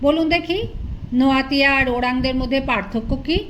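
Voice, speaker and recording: A bold, educated royal tutor from an audiobook, read by a woman. He speaks with confidence, as if instructing. The voice is high, with some noise behind it. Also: normal speed, close to an everyday voice. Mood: confident quizzing. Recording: noticeable background noise.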